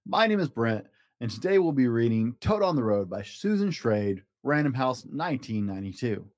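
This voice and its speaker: A man hosting a children's story podcast, reading aloud in an expressive, animated way. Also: mid-range voice, normal speed, no echo, studio quality. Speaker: podcast host and storybook reader.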